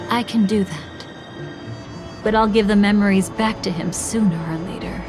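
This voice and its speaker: A poised, alluring woman with a quietly defiant streak, in anime-style game acting. She takes her words extremely slowly and keeps her guard up. Clear music runs behind her. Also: mid-range voice.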